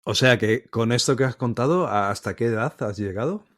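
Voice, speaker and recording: A man acting as interviewer, steering a relaxed conversation with friendly, clear questions. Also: medium pitch, moderate pace, no echo, clean studio sound. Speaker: podcast co-host asking the questions.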